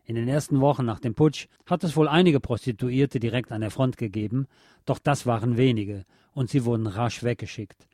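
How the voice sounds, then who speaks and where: Male documentary narrator, mid-pitched, dark mellow tone, measured factual read from a script, very dry sound. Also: dry recording. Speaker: radio presenter reading a historical feature.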